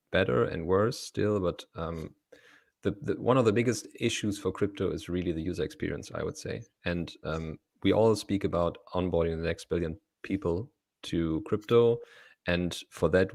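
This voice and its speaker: A man hosting an interview in a measured, deliberate way, with hesitant phrasing. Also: low-pitched, gravelly, no echo, studio quality. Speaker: podcast host.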